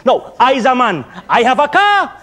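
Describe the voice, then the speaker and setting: A man's voice: extremely high pitch, wildly swooping pitch, moderate pace, sharp tone. A middle-aged man with a Trinidadian accent, playing a nervous, earnest first-time traveller in a comedy sketch on a theatre stage. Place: theatre stage with live audience. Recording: stage recording with audience laughter.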